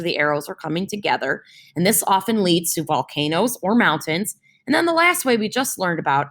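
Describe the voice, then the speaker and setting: A young woman teacher with a slightly low voice talks her class through a science reading. She stops often to check that they follow, asking "right?". She makes points clearer with everyday comparisons. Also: fairly bright tone. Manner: explains with homely comparisons and keeps checking in with "right?".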